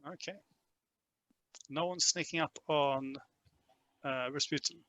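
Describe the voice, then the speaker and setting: A man's voice: a little high, fairly even intonation, slow, pushed and bright. A young man running a tabletop game as game master, hesitant and full of fillers.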